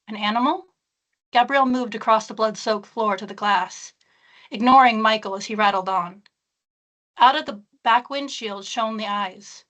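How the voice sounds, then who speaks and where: Woman, author guest on a book event, bright forward tone, pitched a little high. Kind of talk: reading own fiction aloud, then casual chat.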